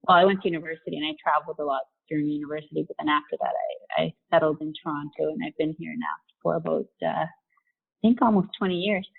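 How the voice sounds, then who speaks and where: Woman in her forties, raised in Mexico, candid interview guest, lowish voice, thin phone-like sound. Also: fairly even intonation, fast talker, gravelly, breathy edge. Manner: candid, conversational, with fillers. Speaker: guest, company vice president. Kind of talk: casual interview over video call. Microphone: video call. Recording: tinny phone-like video call audio.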